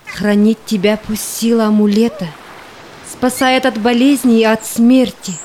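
An actress playing a devoted, sorrowful explorer's wife in a verse audio drama, with a tender, plaintive delivery. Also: female voice, on the high side, little pitch movement, measured pace, a little mellow, slight background noise, close to an everyday voice. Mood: sad, tender.

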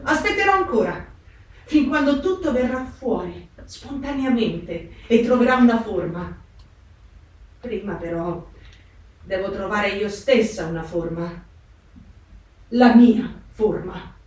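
An actress playing a restless, introspective young woman diarist in a reflective, measured dramatic stage reading. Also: mid-range voice, expressive, darkish tone. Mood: pensive, searching. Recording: echoey room, distant mic, music behind. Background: plucked guitar music.

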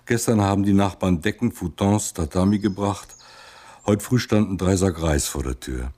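A deep, husky male voice plays a busy, concerned helper acted by a man. He delivers a personal message in clipped phrases, as part of a radio play. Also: normal speed, warm tone. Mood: concerned, matter-of-fact. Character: busy, concerned helper taking in refugee families.

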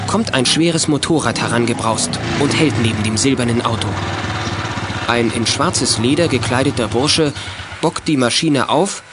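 A man's voice: medium pitch, background noise. A man narrating a youth radio play in scripted, dramatic storytelling style.

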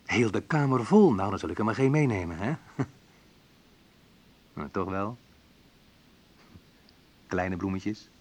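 An actor playing a reflective man in a naturalistic radio drama, talking in a conversational, hesitant, wry way with a husky voice. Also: lowish voice, animated, slow pace, long pauses, darkish tone, heavily acted. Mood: reassuring, patient. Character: Menno, reflective man recalling a school friend. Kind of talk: naturalistic radio drama monologue and phone talk.

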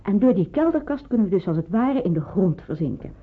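An actress playing a businesslike woman in hiding who explains things briskly, in an old radio play. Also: medium pitch, very animated, breathy edge. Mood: brisk, instructive. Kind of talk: Dutch radio play acting. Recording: muffled, narrow-band old radio recording.

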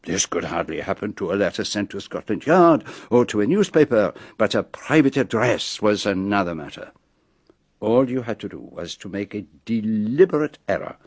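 Low-voiced, precise French-accented gentleman detective. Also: no echo. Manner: precise, deliberate, theatrical explaining. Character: brilliant, methodical, self-assured foreign gentleman detective. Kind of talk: BBC radio drama acting.

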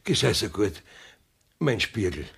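A battered old-school village judge in a Bavarian dialect radio play. He blusters and dodges in a gravelly, husky voice, taking his time while his pitch swings from low mutter to loud exclamation. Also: sing-song, a little slow, full character voice. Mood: defensive, uneasy. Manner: grumbling, exclamatory, evasive.

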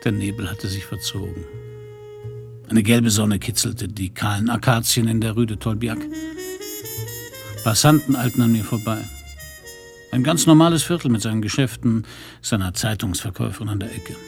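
Low, gravelly hard-boiled detective. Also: male voice, normal speed, long pauses, over music. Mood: dry narration. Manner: dry, laconic. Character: hard-boiled, world-weary male private detective. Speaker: narrator and lead. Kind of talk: German crime radio drama.

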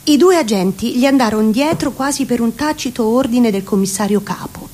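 A woman's voice: a little high, expressive, a little fast, warm tone. A woman reciting literary prose as a narrator in a theatrical reading. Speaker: reciting voice in a radio theatre show.

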